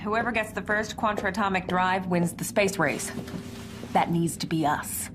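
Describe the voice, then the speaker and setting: A woman playing a brisk, defensive military major under pressure in a radio drama, clipped and impatient. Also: on the low side, background noise, close to an everyday voice. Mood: tense, brisk.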